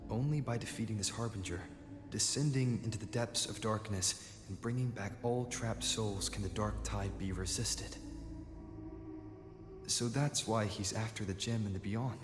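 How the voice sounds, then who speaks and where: A man playing an earnest, thoughtful young adventurer in a video game, measured and articulate as he reasons aloud. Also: on the low side, close to an everyday voice. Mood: pondering. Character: earnest, thoughtful young male adventurer piecing clues together. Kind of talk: video game voice acting, story dialogue. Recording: echoey hall reverb, music behind. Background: game music.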